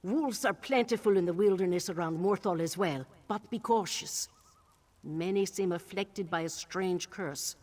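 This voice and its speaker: A woman voicing a cryptic old witch in a video game, wry and measured in an archaic way of speaking. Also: on the low side, a little mellow, close to an everyday voice. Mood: ominous warning. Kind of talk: scripted video game dialogue. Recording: long reverberant tail on the voice.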